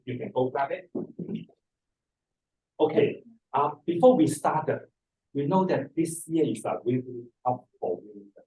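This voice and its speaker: A middle-aged or older man with a Hong Kong accent, speaking haltingly and repeating words as he presents an informal seminar. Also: medium pitch, animated, warm tone. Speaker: feng shui master, seminar speaker. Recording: distant microphone in a room.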